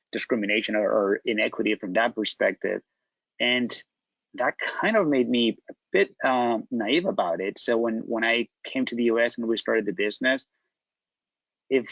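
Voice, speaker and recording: A man's voice: on the low side, gravelly, no room sound, muffled recording. A middle-aged man from Spain with a Spanish accent, speaking reflectively as a panelist with frequent restarts. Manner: reflective, halting with repeated restarts. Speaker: company founder, panelist. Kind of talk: panel discussion.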